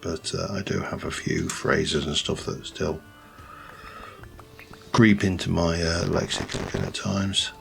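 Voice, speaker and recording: Deep gravelly guy, slight yorkshire accent, rambly game commentary, faint music behind. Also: steady tone, slow pace. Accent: light Yorkshire English. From Yorkshire, England. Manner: rambling, thinks aloud with many ums and restarts. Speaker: let's play host. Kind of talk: casual gameplay commentary.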